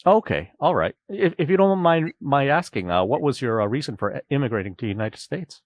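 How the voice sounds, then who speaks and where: A man's voice: medium pitch, very animated, brisk, no room sound. A man from Canada hosting an interview, chatty and friendly, often restarting his sentences with fillers. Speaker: podcast host. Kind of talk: casual interview.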